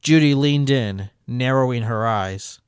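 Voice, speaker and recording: A man narrating a fan fiction story in a plain, even way. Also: medium pitch, measured pace, clear, resonant tone, no room sound, clean studio sound. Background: background music.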